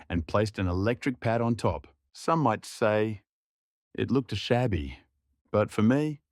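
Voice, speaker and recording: Young guy narrating his own story, lowish voice, soft and reflective, no room sound. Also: no echo, studio quality. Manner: quiet, reflective. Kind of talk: scripted first-person drama narration.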